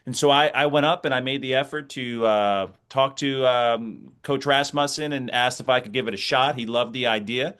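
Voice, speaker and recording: A man's voice: medium pitch, normal speed, few pauses, a bit bright, clean tone. A middle-aged American man, a sports announcer, speaking conversationally as an interview guest and reflecting through anecdotes.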